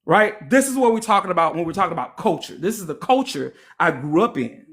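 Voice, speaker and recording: A high-voiced young man with a Louisiana accent hosts a heated livestream debate. He lectures at length, then mocks his opponent with repeated taunts. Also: expressive, quick pace, fairly bright tone. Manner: lecturing, mocking, repetitive taunts. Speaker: live stream host.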